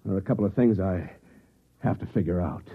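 A man's voice: low-pitched, fast talker, loud music behind the voice. An actor playing a troubled, insistent married office man in a classic radio drama. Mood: alarmed, urgent. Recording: tinny old radio recording.

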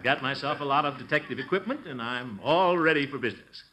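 An actor playing a blustery, pompous middle-aged uncle who fancies himself a detective, in an American radio sitcom. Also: male voice, mid-range voice, lively intonation, quick pace, close to an everyday voice. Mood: self-important. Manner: pompous, chuckling, exclamatory. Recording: noisy old radio broadcast recording.

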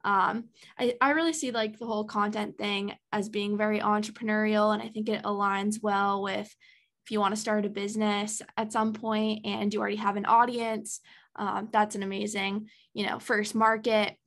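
A woman's voice: mid-range voice, little pitch movement, normal speed, fairly bright tone. A woman in her early twenties, a podcast guest talking in a chatty, casual way with many ums and likes. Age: early 20s.

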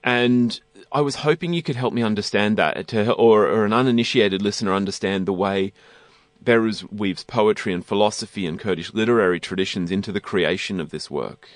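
Male radio host, low voice, dry studio, musing question style. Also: brisk, no room sound. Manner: reflective, loosely worded questions. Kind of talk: radio interview.

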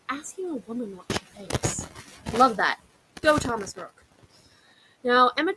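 A woman's voice: fairly high pitch, a little hiss or hum, poor audio. A young woman hosting a history podcast, telling the story in a casual, chatty way, full of fillers and wry asides.

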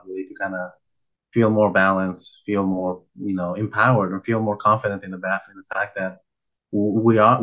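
A man's voice: on the low side, a bit bright, dull audio. A young man from Peru, talking casually and a bit rambling with many fillers, as a guest on a video call. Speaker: guest, nonprofit founder. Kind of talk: casual interview chat.

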